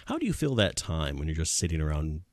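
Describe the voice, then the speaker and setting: Deep-voiced joking male host. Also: huge pitch swings, fast. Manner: jokey, laughs a lot, rambling questions. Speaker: talk show host. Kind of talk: casual interview chat.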